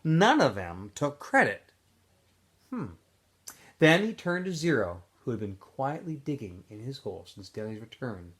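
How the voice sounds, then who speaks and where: A male teacher reads a novel aloud for his students, chatty and casual between chapters. His voice sits at a middle pitch but moves around a great deal, giving the reading an animated lift. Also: a little slow, husky. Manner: casual, chatty recap with fillers, then expressive reading.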